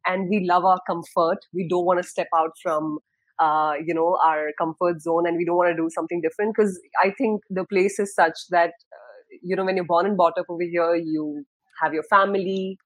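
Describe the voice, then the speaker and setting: A woman in her thirties from Dubai talks about her career on a podcast. Her speech is relaxed and reflective, full of small pauses. The recording is dry and close, with no room sound. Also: on the low side, no room sound, low-quality recording. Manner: thoughtful, many fillers and restarts. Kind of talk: casual interview talk.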